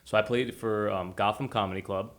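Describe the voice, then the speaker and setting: Very deep-voiced, fast-talking man host. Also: fairly even intonation, a bit bright. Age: 30s. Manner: quick, chatty interjections. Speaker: podcast host. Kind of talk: casual interview conversation.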